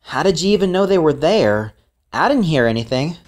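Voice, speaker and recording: A woman's voice: sits low, sing-song, fairly quick, a little mellow. A woman voicing a stubborn, surly teenage boy of the Old West in an amateur audio drama. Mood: irritated.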